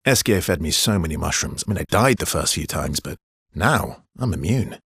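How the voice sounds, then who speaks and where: An actor playing a brooding, gently teasing, guilt-worn man in a video game, with a husky, gravelly low voice and halting, understated delivery. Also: expressive, heavily acted. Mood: playful. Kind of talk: naturalistic video game character dialogue.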